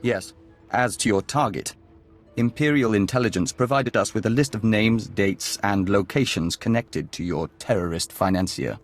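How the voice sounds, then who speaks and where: A serene male diplomat joined to a hive mind, acted by a man for a video game. His voice is on the low side, calm, courteous and measured, with an oddly detached formality. Faint music plays underneath. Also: lightly acted. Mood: serene, polite.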